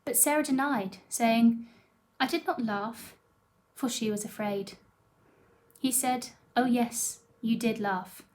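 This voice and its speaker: Higher-pitched woman reading scripture. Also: slow, darkish tone. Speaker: scripture reader at a church.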